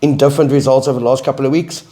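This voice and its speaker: Brief: South African male co-host, croaky after flu, steady and measured, mid-pitched. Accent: South African English. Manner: reads results in a measured, steady way. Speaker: co-host, football coach. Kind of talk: casual sports chat.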